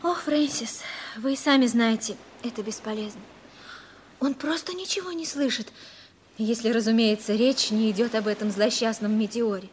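Woman acting young fiancée, high voice, earnest, theatrical, old radio drama with faint noise. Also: normal speed, close to an everyday voice. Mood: wistful. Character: earnest young fiancée, anxious devoted daughter. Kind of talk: 1960s Soviet radio play acting.

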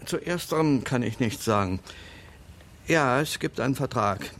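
An actor playing a frail old lawyer in a radio play, guarded and sardonic, speaking in a measured, formal and pointed way. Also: male voice, mid-range voice, expressive, fairly bright tone. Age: older.

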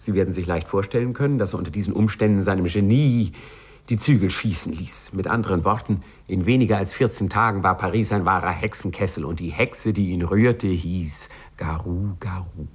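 Ironic narrator in an old 50s radio play, bit low and rough, polished storytelling. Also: male voice, a little fast. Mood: ironic, urbane. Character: urbane, ironic male storyteller. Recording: 1950s radio studio recording.

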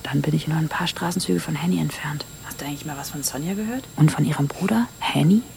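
A woman's voice: deep voice, quick pace, vocal fry, a little mellow, a little hiss or hum. An actress playing a curious, confiding young woman in a conversational radio play. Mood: curious, hushed.

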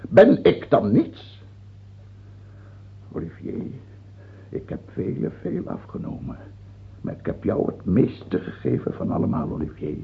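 Casting: ailing despot king, scornful and grandiose, wide swings in pitch, old lo-fi radio drama sound. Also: huge pitch swings, unhurried, gravelly, pauses often, slight background noise, exaggerated voice. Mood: fearful, brooding. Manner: volatile, swinging from murmured brooding to barked scorn. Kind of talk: theatrical radio drama acting. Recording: dull, lo-fi old radio recording.

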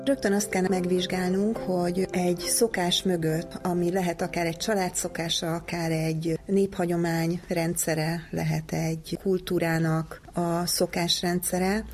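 Woman, lower-pitched, level intonation, careful expert explanation. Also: a little mellow, slightly rough. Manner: careful, abstract, formal phrasing. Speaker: psychologist.

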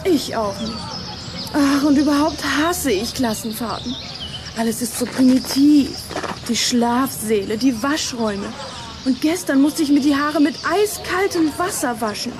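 A woman's voice: high voice, unhurried, noisy background. A young female performer playing a fussy, vain schoolgirl in a radio play, with an affected, coy, complaining manner. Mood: haughty, complaining. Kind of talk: German radio play acting.

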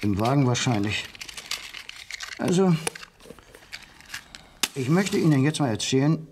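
A man's voice: mid-range voice, animated, a little slow, background noise, close to an everyday voice. An actor playing a testy, sarcastic husband who insists on his story, in a German radio crime drama. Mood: tense, recounting. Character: irritable, insistent husband shaken by a strange night.

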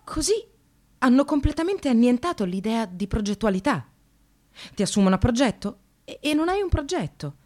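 Bitterly ironic precarious woman, expressive swings. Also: on the high side, moderate pace. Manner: sardonic, emphatic, confessional. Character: precarious receptionist, disillusioned separated mother, bitterly ironic. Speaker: actress narrating a monologue. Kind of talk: theatrical radio drama monologue.

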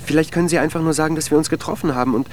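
A man's voice: a little high, steady tone, very fast, darkish tone, slight background noise, lightly acted. An actor playing a needy, insecure, lovelorn man who dithers and pleads in a naturalistic radio drama. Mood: anxious, dithering.

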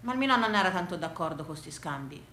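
A woman with a Tuscan accent telling a theatrical monologue for radio in an animated, folksy way. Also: lowish voice, fast, reverberant, slight background noise. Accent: Tuscan Italian. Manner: animated, folksy, dialect-laced delivery. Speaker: actress and solo storyteller.

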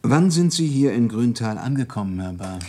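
An actor playing a sober, probing police inspector in a German radio crime drama, terse and measured. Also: male voice, medium pitch, lively intonation, darkish tone, natural voice. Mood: businesslike.

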